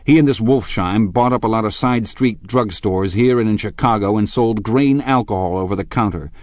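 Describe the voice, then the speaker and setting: A man voicing an angry, scornful husband in a storybook, forceful, accusing and emphatic. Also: on the low side, normal speed, dry recording, pitched above the performer's own voice, close to an everyday voice.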